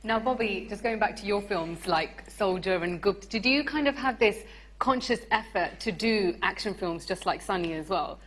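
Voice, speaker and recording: Over clear background noise, a woman with a mid-pitched voice hosts a live interview. She puts questions to guests on stage. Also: lively intonation, moderate pace. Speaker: radio presenter, event host. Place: radio theatre stage.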